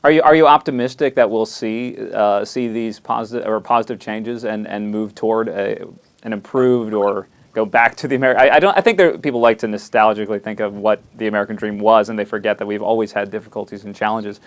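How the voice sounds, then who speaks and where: A man hosting an interview, asking rambling, halting questions with frequent restarts. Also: fairly low pitch. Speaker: interviewer, host.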